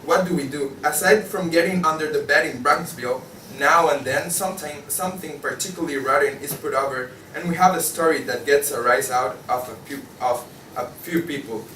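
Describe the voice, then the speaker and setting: A man's voice: fairly high pitch, fairly quick, pushed and bright, far from the mic. A man playing a jaded, disillusioned journal editor in a table read of a stage play, stumbling now and then over his lines. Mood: weary, bitter. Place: indoor room, table read. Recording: echoey room from afar, faint noise.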